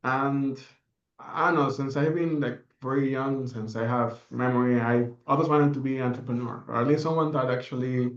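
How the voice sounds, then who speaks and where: A young man from the Dominican Republic speaks as a podcast guest, reflective and earnest and full of fillers. His voice sits at an everyday middle pitch. The sound is roomy and a little dull, as if recorded remotely. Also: steady tone, moderate pace. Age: young adult. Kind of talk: interview answers.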